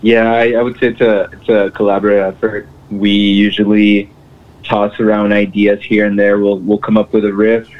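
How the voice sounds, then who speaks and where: A man from Mexico, a band's singer and guitarist, answering interview questions in a relaxed way with many restarts. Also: lowish voice, normal speed. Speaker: band vocalist and guitarist. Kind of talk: casual interview. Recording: tinny phone line.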